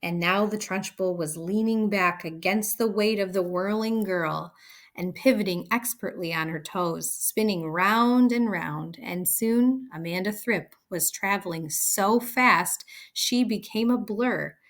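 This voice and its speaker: A woman narrates a children's book aloud. Her pace is very slow and deliberate, her pitch is in the middle range, and her delivery is expressive. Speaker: storybook reader.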